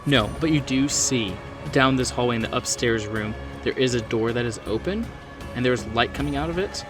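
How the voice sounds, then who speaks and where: A man's voice: mid-range voice, normal speed, far from the mic. Man game master over prominent music. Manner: descriptive, conversational narration. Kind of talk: narrating a horror tabletop roleplaying game. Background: background music.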